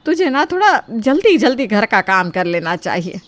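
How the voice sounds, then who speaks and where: A woman's voice: mid-range voice, very animated, moderate pace, no echo, natural voice. A woman voicing an anxious older mother-in-law in a cartoon moral story, pleading and placating with mild reproach. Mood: defensive, reproachful.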